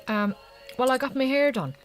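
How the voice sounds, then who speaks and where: An actress playing a suspicious, prickly Irish farmer's wife, with a sharp, sarcastic way of talking, in a naturalistic radio drama. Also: female voice, high-pitched, moderate pace, soft background music, natural voice. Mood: casual.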